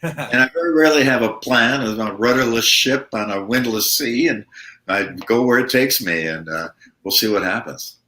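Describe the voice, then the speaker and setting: A male musician guest in a casual interview, telling stories in a relaxed way with many fillers, over a video call. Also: medium pitch, normal speed, echoey room, slight background noise, poor audio.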